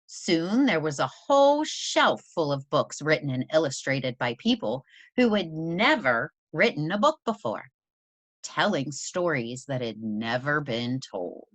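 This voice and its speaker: A woman reading a children's story aloud as a teacher would, in an expressive, deliberate manner for young listeners. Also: low voice, no echo.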